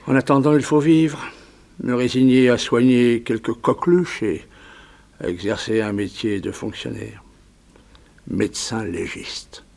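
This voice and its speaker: A man's voice: medium pitch, animated, unhurried, vocal fry, darkish tone. An actor playing a self-pitying, devoted older father and former surgeon in a 1960s French radio drama, with a theatrical, sighing delivery. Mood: bitter resignation. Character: ailing, embittered older doctor, devoted father, once a surgeon.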